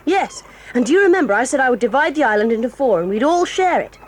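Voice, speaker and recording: An actress voicing a proud, quick-tempered tomboy girl in an audio drama, talking in a brisk, bossy and eager way. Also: sits high, very animated, slight background noise. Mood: excited, lively. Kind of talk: 1980s audio drama acting.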